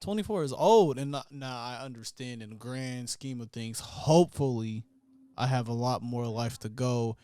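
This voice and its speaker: A man's voice: mid-range voice, moderate pace, dark tone, clear, resonant tone, no echo, clean studio sound. A man in his twenties co-hosting a podcast, laid-back and slangy, talking straight to his listeners. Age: 20s. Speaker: podcast co-host. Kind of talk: casual chat.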